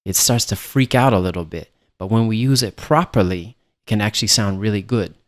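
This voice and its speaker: A man narrating a software tutorial as an instructor, explaining things in a casual way. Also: on the low side, a little fast. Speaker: music production instructor.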